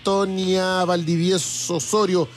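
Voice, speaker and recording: A man's voice: high voice, flat delivery, a little slow. Chatty Chilean man hosting a music show. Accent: Chilean Spanish. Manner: chatty, restarts and repeats words. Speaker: radio show host. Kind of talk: live music show banter, reading listener comments.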